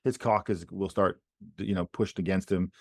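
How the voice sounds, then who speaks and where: A man's voice: sits low, fairly even intonation, moderate pace, a little mellow, dry recording, studio quality. A man co-hosting a casual political chat podcast, rambling with many restarts and repeated words. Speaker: podcast co-host.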